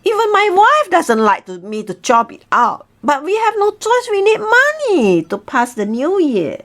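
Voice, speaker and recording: A woman playing a poor, good-hearted husband in a folk tale, excitable and insistent. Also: extremely high pitch, unhurried, voice pushed up, full character voice.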